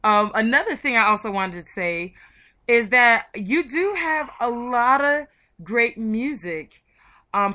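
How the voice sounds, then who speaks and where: A woman radio host with a very bright, forward voice. She chats with her guest in an enthusiastic, gushing way and sounds excitable as she steers the interview. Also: fairly high pitch. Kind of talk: chatty, enthusiastic interview hosting.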